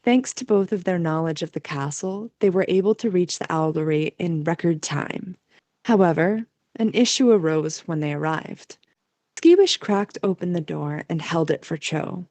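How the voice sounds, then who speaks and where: A woman's voice: fairly low pitch, moderate pace, darkish tone, dry recording. A woman reading scripted story narration as the narrator of an amateur audio drama.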